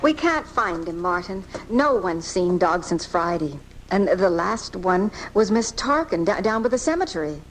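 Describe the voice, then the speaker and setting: An actress playing a gentle, worried mother comforting her son in a 1980s radio drama, taped off the air. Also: on the low side, sing-song, moderate pace, hardly any pauses. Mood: gently explaining. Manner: soothing, sympathetic. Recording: noisy amateur off-air radio tape.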